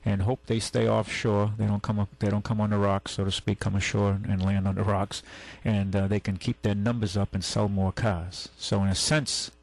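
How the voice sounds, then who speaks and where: A man's voice: on the low side, flat delivery, normal speed, creaky, few pauses, darkish tone. A man hosting a talk radio show, talking in a folksy, rambling, opinionated way. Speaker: radio show host and used car dealer. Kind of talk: casual radio chat. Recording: AM radio broadcast.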